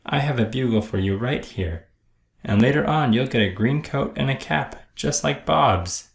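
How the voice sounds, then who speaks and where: A man voicing a friendly, brisk animal show director from a children's storybook, breezy and commanding. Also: mid-range voice, expressive, gravelly, echoey room. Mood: encouraging.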